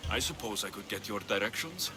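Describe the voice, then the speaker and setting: An actor playing a wry, steady young mercenary in a video game cutscene, speaking. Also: male voice, fairly low pitch, brisk, noisy background, lightly acted. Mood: dry, teasing. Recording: music bed behind the voice.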